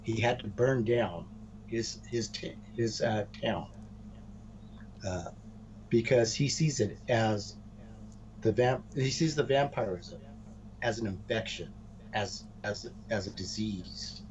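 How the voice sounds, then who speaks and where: A man co-hosting a casual wrestling storyline chat, speaking haltingly and often repeating words as he restarts phrases. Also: lowish voice. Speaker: co-host of a wrestling podcast. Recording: muffled, noisy room recording.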